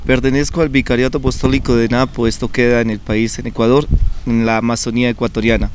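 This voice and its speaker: A man's voice: mid-range voice, fast talker. Young male seminarian guest from Colombia, mid-range voice, earnest explanatory delivery, faint noise behind. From Colombia (Bucaramanga, Santander). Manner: calm, earnest, explanatory with frequent fillers. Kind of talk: conversational TV interview.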